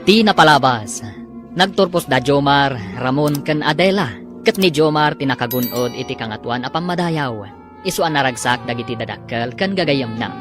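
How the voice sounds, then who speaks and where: A man's voice: mid-range voice, lively intonation, normal speed. A man narrating and announcing a radio drama in a scripted broadcast style. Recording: radio broadcast with music underneath. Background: music.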